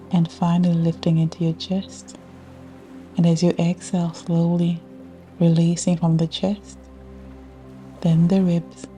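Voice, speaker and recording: A woman guiding a scripted yoga nidra meditation in a soothing, measured way with long pauses. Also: on the low side, warm tone. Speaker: meditation guide. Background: ambient music with ocean sounds.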